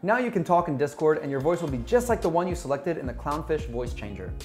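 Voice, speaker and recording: A man in his thirties with a slightly high-pitched voice narrates a software tutorial. He addresses viewers in an upbeat, scripted presenter style, with music playing behind him. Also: very animated, fast talker. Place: small bright office, indoors. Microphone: lapel mic. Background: background music.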